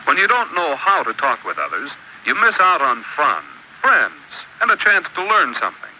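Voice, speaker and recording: A man narrates an old classroom film with a very bright, crisp tone. His pitch moves a lot as he sets out each rule in a measured, instructive way. A faint hiss sits under the old soundtrack. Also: huge pitch swings, low-quality recording. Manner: measured, instructive, lists rules one by one. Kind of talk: scripted educational narration.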